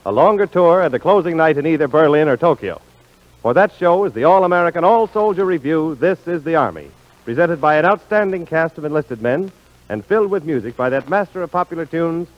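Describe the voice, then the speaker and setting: A man's voice: on the high side, animated, fairly quick. A man working as a radio announcer in a polished, showmanlike 1940s style, reading scripted ads and introductions. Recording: dull, lo-fi 1940s radio broadcast.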